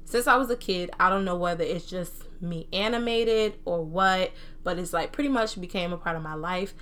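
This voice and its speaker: A young woman with a bright, punchy voice that sits a little low, speaking in an American accent that blends New Jersey and the South. She talks in an animated, slangy, informal way, like a solo podcast host chatting casually. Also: normal speed, clean tone. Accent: American, New Jersey mixed with Southern. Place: own room at home.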